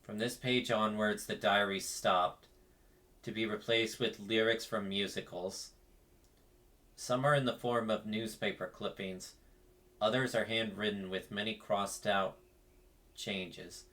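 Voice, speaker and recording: A man's voice: lowish voice, fairly even intonation, measured pace, a bit bright. A man reading game text aloud and commenting on it as a streamer.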